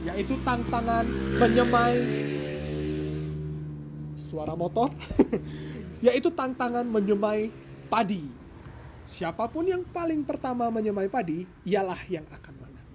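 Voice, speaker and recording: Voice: mid-range voice, animated, unhurried, long pauses, dull audio. A storyteller tells a folk tale informally and dramatically, with playful joking asides and self-corrections. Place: at home. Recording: noisy home recording with ambient sound.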